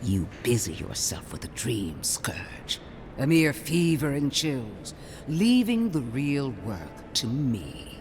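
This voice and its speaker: An actor playing a severe, zealous imperial inquisitor in a fantasy game, with an imperious, cutting, theatrical delivery. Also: male voice, medium pitch, huge pitch swings, slow pace, background noise, exaggerated voice. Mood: contemptuous, accusing. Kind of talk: dramatic fantasy game dialogue.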